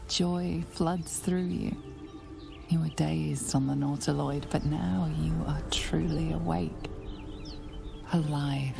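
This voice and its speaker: Dark fantasy storyteller, female, deep, hushed, deliberate pacing, sinister game narration. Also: steady tone, gravelly, over music, exaggerated voice. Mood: dark relish. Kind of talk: dramatic second-person game narration.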